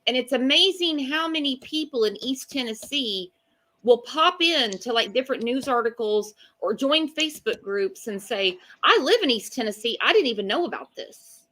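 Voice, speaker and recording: Woman, fairly high voice, bright and forceful, casual opinionated chat, blunt and emphatic. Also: expressive, moderate pace. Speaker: co-host of a live true-crime chat show.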